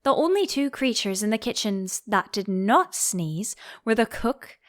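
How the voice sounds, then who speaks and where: A woman reading a children's storybook aloud as an expressive audiobook narrator. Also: mid-range voice, a little slow.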